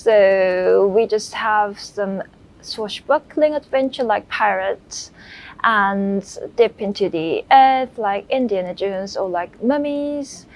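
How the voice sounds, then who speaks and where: A woman guest explains her work very slowly, at a medium pitch. Her phrases are simple and halting, unscripted and plainly put. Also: rough recording. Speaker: concept artist, interview guest.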